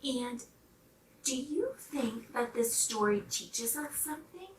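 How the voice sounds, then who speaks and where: A woman reading a storybook aloud to young children in an animated, expressive way. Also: on the high side, slow, a little hiss or hum. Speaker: storybook reader. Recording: roomy, slightly distant microphone.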